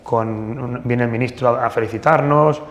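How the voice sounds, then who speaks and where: A man's voice: medium pitch, moderate pace. A man from northern Portugal, a plastic surgeon telling anecdotes in an interview, hesitant with many restarts and fillers. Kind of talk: casual interview storytelling. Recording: long hall reverb.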